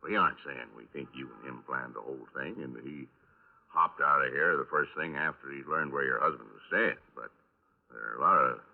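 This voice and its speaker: An actor playing a gruff police lieutenant in a radio crime drama, questioning with folksy, sardonic prodding. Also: male voice, rumbling low, a little fast, exaggerated voice. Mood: needling. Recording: tinny band-limited old radio recording.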